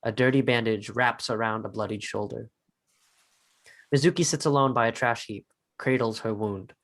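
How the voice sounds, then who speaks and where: A man's voice: mid-range voice, moderate pace, fairly bright tone. A man narrating a script, reading out stage directions in short phrases.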